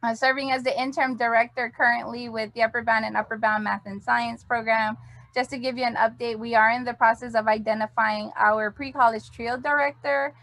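A woman's voice: fairly high pitch, a bit bright, no echo. Middle-aged woman, dry close video-call voice. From Hawaii. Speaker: interim program director. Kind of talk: welcome talk on a video call.

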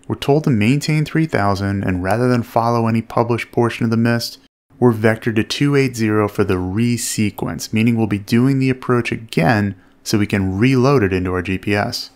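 Male instructional narrator, measured and explanatory, noticeable vocal fry. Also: mid-range voice, darkish tone. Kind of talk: explanatory instructional commentary over flight footage.

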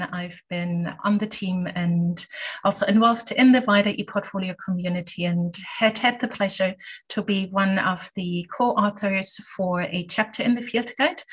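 A woman with a European accent, a software project lead, introducing herself in a measured, friendly way on a video call. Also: medium pitch, low-quality recording. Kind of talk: self-introduction in webinar.

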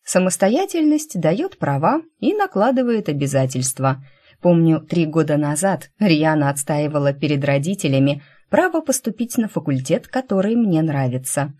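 A woman reading fiction as an audiobook narrator, in a conversational, expressive storytelling manner. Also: low voice, moderate pace. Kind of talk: scripted first-person fiction narration.

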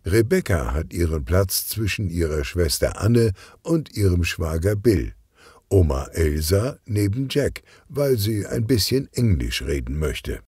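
A man reads a children's story as its narrator. His voice is low and mellow, and he tells it unhurriedly with expressive delivery. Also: unhurried, breathy edge, no room sound, clean studio sound.

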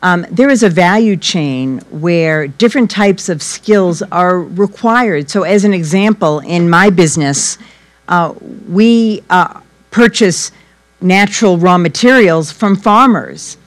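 A woman's voice: fairly low pitch, slow. A middle-aged woman, a business executive, giving an explanatory answer on a press conference panel. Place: indoor press conference stage. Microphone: gooseneck microphone in front of seat.

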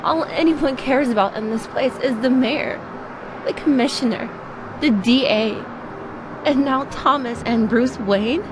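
A tough, wounded young woman with a streetwise, defiant way of talking. Her voice sits a little high, with clear ambient noise behind it. It is played as cinematic audio drama. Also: measured pace. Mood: urgent. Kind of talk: film-style audio drama acting.

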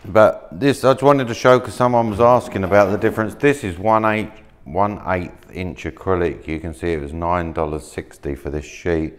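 A man in his thirties casually showing materials at a workshop table, talking in a relaxed, rambling way with plenty of fillers and restarts. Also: low voice, moderate pace, hardly any pauses. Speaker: maker demonstrating laser-cut materials. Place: workshop, indoors, at a work table.

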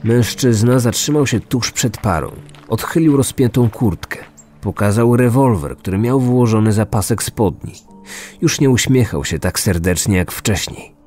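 Low-pitched male true-crime narrator. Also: moderate pace, a little mellow. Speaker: narrator and podcast host. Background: music.

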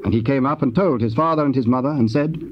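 A man narrating scripture from a script in a solemn, formal, measured storytelling manner. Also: mid-range voice. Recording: dull, noisy old cassette tape.

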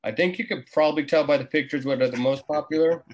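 A man's voice: on the high side, steady tone, fast. A man in his forties, an easygoing contractor talking casually as a guest on a video call. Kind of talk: casual interview talk. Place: small indoor room, video call. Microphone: computer mic on a video call.

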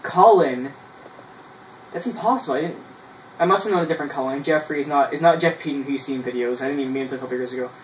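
Young man in a roomy, distant recording. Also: medium pitch. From Canada. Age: 20s. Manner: halting when reading, self-mocking asides. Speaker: vlogger. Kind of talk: casual vlog, reading childhood writing aloud. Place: small bedroom, indoors. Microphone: camera a few feet away. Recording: roomy, distant mic with noise.

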